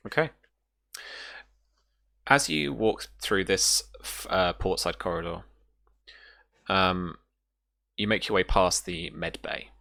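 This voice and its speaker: A man narrating a tabletop role-playing game as game master in an improvised, halting way, pausing to find his words. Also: low-pitched, expressive, gravelly, bright, forceful tone.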